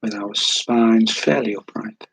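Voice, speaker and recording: A man leading a guided meditation in a calm, soothing, unhurried way, with a soft, breathy voice and long pauses. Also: lowish voice. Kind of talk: guided meditation, slow spoken instruction.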